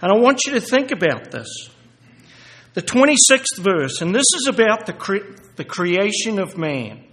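A man giving a sermon and reading scripture aloud, halting with many fillers and restarts, then growing louder and more emphatic as he reads. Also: high-pitched, very animated. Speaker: lay preacher. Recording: echoey hall reverb.

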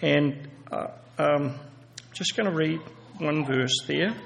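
A man giving a sermon and reading scripture aloud, halting with many fillers and restarts, then growing louder and more emphatic as he reads. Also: fairly high pitch, creaky, a little hiss or hum. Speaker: lay preacher. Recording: echoey hall reverb.

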